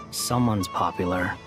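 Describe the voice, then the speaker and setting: An actor playing an aloof, sardonic young man in a video game, deadpan and dismissive. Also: low voice, exaggerated voice. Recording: music behind the voice.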